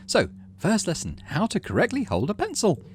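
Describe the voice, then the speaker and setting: A man voicing a brisk female schoolteacher in a game story, addressing a class in an instructive manner. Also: high voice, wildly swooping pitch, slight background noise, pitched above the performer's own voice. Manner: clear, instructive classroom delivery. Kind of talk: character reading in game story.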